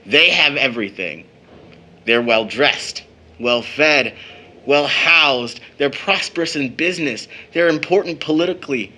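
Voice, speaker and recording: A man's voice: fairly high pitch, measured pace, bright, forceful tone. A man acting as a proud young uncle in an audio drama, with a theatrical, formal way of talking. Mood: bitter, earnest. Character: playful, teasing young uncle, mock-pompous, proud, later embittered.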